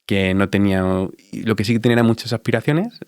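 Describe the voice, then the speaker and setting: A man in his thirties with an Andalusian Spanish accent, answering interview questions in a reflective, halting way with many fillers. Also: on the low side, no echo, clean studio sound. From Spain. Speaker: dentist guest.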